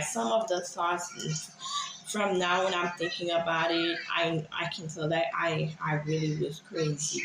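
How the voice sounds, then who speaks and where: A woman from Haiti giving a personal, confessional monologue to viewers in a hesitant, halting way, often repeating herself. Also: low voice, gravelly, echoey room, noisy background. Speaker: vlogger sharing personal experience.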